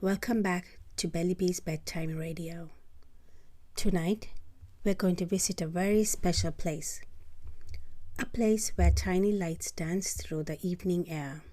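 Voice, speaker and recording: A woman with a mellow, fairly low voice leads a children's bedtime meditation. She speaks very slowly and gently, often slipping into a breathy half-whisper. Short phrases are set apart by unhurried, soothing pauses. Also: fairly even intonation. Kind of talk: guided sleep meditation, soft scripted narration.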